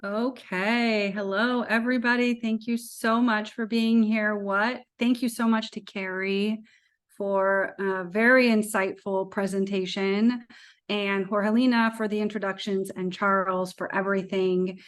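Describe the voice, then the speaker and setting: Woman, American accent, panel moderator, medium pitch, informal conversational delivery. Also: fairly even intonation, unhurried. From United States, Indiana. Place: virtual event video call.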